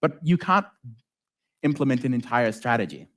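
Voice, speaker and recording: A man who is a native Arabic speaker giving an informal conference talk as a software developer, with joking asides and check-ins to the audience. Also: medium pitch, lively intonation, normal speed. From lives in South Africa.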